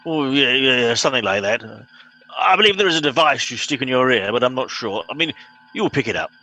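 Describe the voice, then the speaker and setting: A man playing a pompous, bossy middle-aged captain in an amateur comedy radio play, brisk and officious. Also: medium pitch, animated, bright, forceful tone, low-quality recording. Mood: brisk, patronising.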